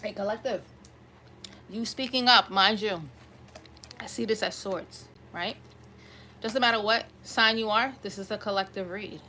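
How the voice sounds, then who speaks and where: A woman reads tarot for an online audience, speaking off the cuff at a middling pitch. Her voice has a slight rasp and she often checks in with her listeners. There is clear ambient noise behind her. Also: unhurried, pauses often, sharp tone. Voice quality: slightly raspy. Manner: conversational, often checking in with "right?". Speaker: tarot reader hosting an online collective reading. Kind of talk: improvised tarot card reading addressed to viewers.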